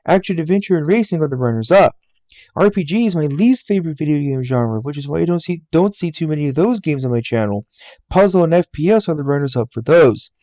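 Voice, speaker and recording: A man's voice: fairly high pitch, lively intonation, fast, dark tone, no echo, highs cut off. A man in his twenties from the United States, earnestly narrating a scripted voice-over about himself and his channel from a game room at home. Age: 20s. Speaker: YouTuber. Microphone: laptop mic.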